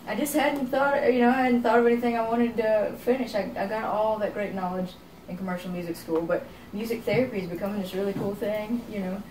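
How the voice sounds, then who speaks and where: A woman's voice: medium pitch, noisy background. A young woman from Texas with a Texan accent, a singer-songwriter talking reflectively in a casual interview and filling her speech with "you know.". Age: young adult. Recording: roomy, from a distance.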